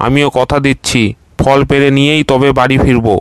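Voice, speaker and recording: Cartoon crocodile, male, lazy, meek, mid-pitched and flat; man voicing. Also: a little fast, smooth, no echo, close to an everyday voice. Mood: easygoing. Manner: easygoing and meek. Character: lazy, plump, soft-hearted male crocodile. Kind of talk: cartoon voice acting.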